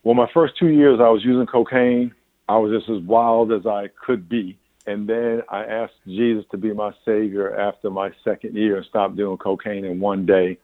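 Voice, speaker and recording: Older guy pastor talking over a phone line, lowish voice, dry and tinny. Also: fairly even intonation, moderate pace, no room sound. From New York. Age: 60s. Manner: candid, rambling with restarts and laughs. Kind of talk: interview answers.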